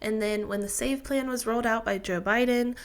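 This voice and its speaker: A woman's voice: fairly high pitch, steady tone, a little fast. A woman, sounding congested from a sinus infection, explaining her finances in a chatty, methodical way to camera. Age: 20s. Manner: chatty, methodical, with fillers and restarts. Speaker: personal finance vlogger. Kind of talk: casual explanatory talk to camera.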